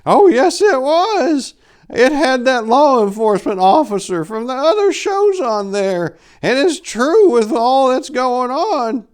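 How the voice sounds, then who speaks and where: A man's voice: very high voice, very animated, slow, smooth, voice pushed up, full character voice. A man as a screeching panicked old lady. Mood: eager, insistent.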